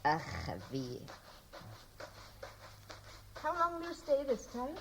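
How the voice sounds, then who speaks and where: An actress playing a fond, brisk, scolding middle-aged Welsh mother in a 1960s radio drama, with a lilting, homely way of talking. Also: high voice, huge pitch swings, fairly bright tone, a little hiss or hum, full character voice. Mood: fond, chatty.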